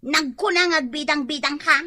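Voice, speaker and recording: A bossy village wife in an Ilocano comedy radio drama. Her voice is very high and sharp, scolding and demanding with an Ilocano accent. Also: normal speed. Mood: questioning.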